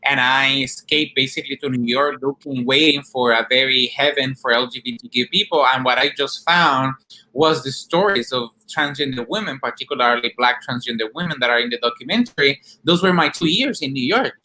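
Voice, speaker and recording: A man's voice: medium pitch, brisk, pushed and bright, low-quality recording. A man from Rico, answering earnestly as a documentary director and activist in an interview. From Puerto Rico.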